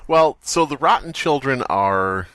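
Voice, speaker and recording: A man's voice: medium pitch, animated, a little slow, a bit bright, slight background noise. A man co-hosting a horror fiction podcast, reading aloud and joking with ironic asides and frequent laughs. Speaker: podcast co-host reading stories.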